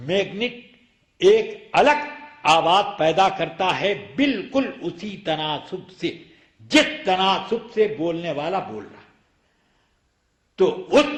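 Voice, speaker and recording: An echoey recording carries a man's high-pitched voice as he preaches. He speaks slowly, in the manner of an Islamic scholar explaining a ruling. His delivery is emphatic and argumentative, built on rhetorical questions. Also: fairly bright tone. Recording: echoey room sound.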